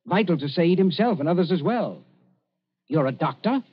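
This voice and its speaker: A man's voice: high-pitched, expressive, moderate pace, a little hiss or hum. An actor playing a shrewd younger man in a radio drama, coolly suspicious and pointed in his questioning. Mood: pressing. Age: young adult. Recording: dull lo-fi radio recording.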